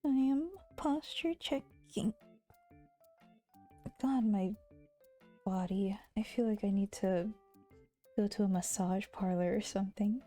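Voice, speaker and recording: A woman streamer chatting casually in a soft, gentle, often half-whispered voice, with playful teasing and giggles, speaking close to the mic. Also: medium pitch, slow pace, pauses often, faint music behind. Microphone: close to a regular mic.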